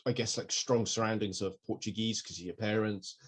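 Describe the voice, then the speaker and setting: A man's voice: fairly low pitch, steady tone, fairly quick, husky. A man raised in Wales and England co-hosting a podcast, reasoning things through in a thoughtful, analytical way with some restarts. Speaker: podcast co-host. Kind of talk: casual conversation.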